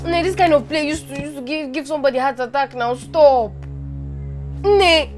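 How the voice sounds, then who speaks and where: A woman's voice: high voice, moderate pace, natural voice. A woman voicing a young Nigerian orphan girl who pleads and sobs, repeating herself over and over. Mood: coaxing, pleading. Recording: music playing underneath. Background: music.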